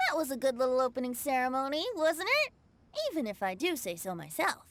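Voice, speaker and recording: A woman's voice: high-pitched, lively intonation, moderate pace, a little hiss or hum. A woman voicing a cheerful, youthful bard in a video game, earnest and playful, with a breezy, encouraging manner. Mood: self-satisfied.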